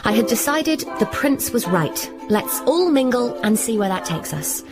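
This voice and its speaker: An actress playing a wry, scheming young woman who narrates and talks in a deadpan, wordplay-heavy radio comedy drama. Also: on the high side, moderate pace, music in the background. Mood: wry narrating.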